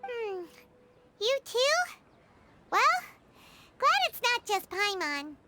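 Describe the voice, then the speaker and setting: A woman voicing a tiny, excitable, childlike fairy companion girl in a video game, with a squeaky voice and an exclamatory manner. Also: slow, full character voice. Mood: pondering. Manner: exclamatory, speaks of herself in third person.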